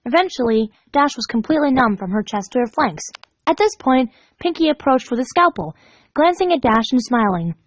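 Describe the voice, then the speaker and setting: A woman narrates a story from a script in a fairly high voice. Her pitch moves a lot as she reads, and the recording is dry and close. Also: big pitch swings, fairly quick, no room sound, lo-fi sound. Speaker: fan fiction reader.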